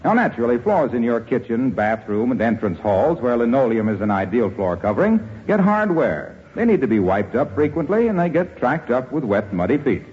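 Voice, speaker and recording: A man's voice: mid-range voice, very animated, brisk, few pauses, slightly rough. A man working as a 1940s radio announcer, delivering polished, emphatic sales copy. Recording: dull 1940s radio recording, faint hiss.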